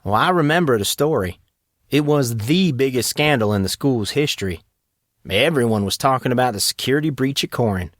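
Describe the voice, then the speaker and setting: A man's voice: medium pitch, animated, fairly quick, dry recording, studio quality, lightly acted. A man doing Grayson, a clever, knowing young man.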